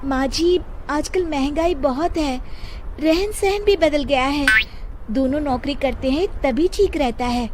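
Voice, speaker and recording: A woman voicing a polite, meek young wife in a cartoon story, deferential and gently pleading. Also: high voice, a little slow, very noisy. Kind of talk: cartoon moral story acting.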